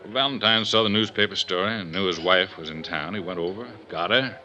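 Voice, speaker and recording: An actor playing a gruff, world-weary police inspector in a 1950s radio detective drama, blunt and folksy. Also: male voice, deep voice, a little fast, sharp tone. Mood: reasoning, blunt. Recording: dull, noisy vintage 1950s radio recording.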